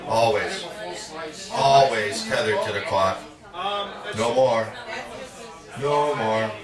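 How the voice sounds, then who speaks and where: Echoey, slow-spoken man reading poetry. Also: medium pitch. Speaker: poet. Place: indoor literary cafe reading. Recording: echoey, distant live recording with audience. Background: audience sounds.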